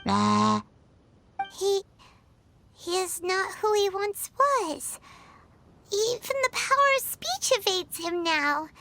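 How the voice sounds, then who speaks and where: An actress voicing a small, childlike, serious character in a fantasy game, speaking earnestly and formally. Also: female voice, extremely high pitch, big pitch swings, slow pace, gravelly, pauses often, bright, forceful tone, slight background noise, exaggerated voice. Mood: hesitant, regretful. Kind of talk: dramatic video game acting.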